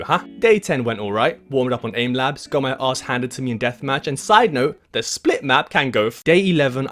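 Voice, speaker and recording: A man's voice: mid-range voice, a bit bright. A young British man narrating a scripted comedic gaming vlog in a self-deprecating, jokey, mock-dramatic manner. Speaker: gaming video creator. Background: music.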